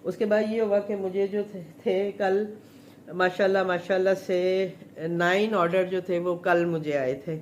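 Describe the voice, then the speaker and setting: A woman's voice: medium pitch, steady tone, unhurried. A middle-aged woman talks on a live stream from her home kitchen. Her throat is a little sore. She rambles with plenty of fillers, and there is a faint hum of room noise behind her. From Pakistan. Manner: chatty, rambling, many fillers. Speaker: home chef. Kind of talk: casual live chat.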